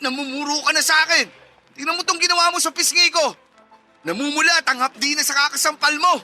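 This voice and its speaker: A man's voice: extremely high pitch, fast, sharp tone, faint music behind, heavily acted. A man playing a manipulative, womanizing young boyfriend in a melodramatic radio drama, whining, defensive and accusatory. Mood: indignant, pleading.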